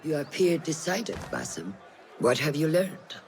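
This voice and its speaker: An actor playing a seasoned, middle-aged assassin master in a video game, grave, authoritative and reproving. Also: male voice, a little high, huge pitch swings, unhurried, vocal fry, full character voice. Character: stern, seasoned male assassin mentor. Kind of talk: cinematic video game voice acting. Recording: music behind the voice. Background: dramatic score.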